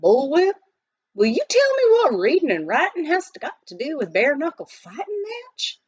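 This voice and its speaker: A woman voicing an excitable, blustery old-time prospector man with a folksy frontier drawl in a children's storybook. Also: sits high, moderate pace, no room sound, highs cut off, voice pushed up. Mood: incredulous alarm.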